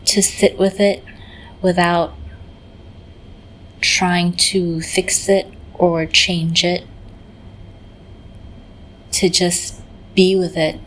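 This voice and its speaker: A woman leading a guided meditation in a gentle, unhurried manner, often pausing in the middle of phrases. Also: on the low side, flat delivery, a little hiss or hum, rough recording. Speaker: meditation teacher.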